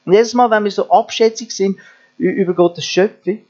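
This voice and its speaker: Man, pastor, high voice, earnest sermon with rhetorical questions, lo-fi recording with mains hum. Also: fairly even intonation, normal speed, darkish tone. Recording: dull lo-fi sound with mains hum.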